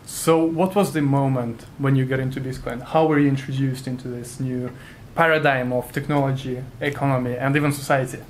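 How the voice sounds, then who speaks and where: A man's voice: mid-range voice, steady tone, brisk, a little hiss or hum. A man hosting a channel interview, upbeat and friendly as he prompts his guest with questions.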